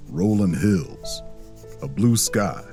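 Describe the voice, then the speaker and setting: An actor playing a watchful, protective road traveler in an audio drama, speaking in a measured, lyrical way. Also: male voice, deep voice, animated, a little mellow, faint music behind. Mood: reflective. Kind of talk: audio drama acting with inner narration.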